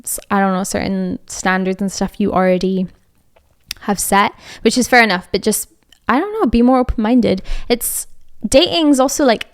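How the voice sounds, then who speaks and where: Young Scottish woman, podcast host, smooth clear voice, dry close sound, chatty and meandering. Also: a little high, no echo. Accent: Scottish, Edinburgh. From Scotland. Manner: chatty, rambling, many fillers and restarts. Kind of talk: casual advice chat.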